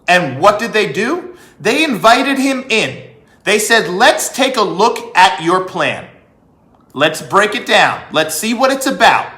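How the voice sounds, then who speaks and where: A man's voice: high voice, very animated, slow, bright, forceful tone. A man delivering an opinionated political monologue as a commentator, emphatic, repeating phrases and asking rhetorical questions. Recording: echoey room.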